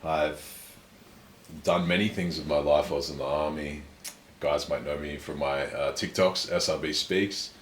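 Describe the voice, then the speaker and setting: A young man telling his life story in a relaxed, self-assured, measured way during a casual conversation. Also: a bass voice, a little hiss or hum. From Australia. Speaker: guest. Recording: echoey room with faint ambience.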